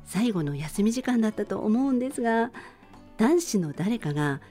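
A woman radio host speaking politely and gently to her listeners in a relaxed bedtime show style. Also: medium pitch, normal speed, faint music behind.

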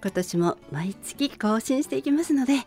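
A woman hosting a gentle bedtime radio chat, speaking politely and conversationally straight to her listeners. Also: fairly high pitch, lively intonation, normal speed, soft background music. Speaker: radio show host and band vocalist.

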